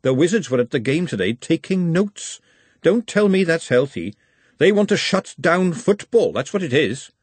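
A sardonic young working-class lad, blunt and cynical, with a voice that leaps around wildly, as a male narrator puts him on. He talks in a husky, dry tone with his pitch pushed well up. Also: very animated, normal speed, no echo.

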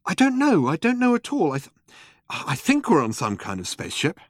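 A man voicing an excitable, exasperated male space traveller with urgent, indignant speech in an audiobook. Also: fairly high pitch, brisk, no room sound, clean studio sound, voice pushed up. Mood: baffled, excited.

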